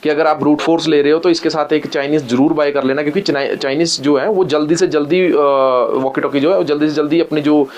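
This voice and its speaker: A man's voice: on the high side, fairly even intonation, quick pace, hardly any pauses, darkish tone. A man explaining things informally in a vlog chat, in a hands-on way, often checking that the listener follows. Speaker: walkie-talkie user explaining gear to the host.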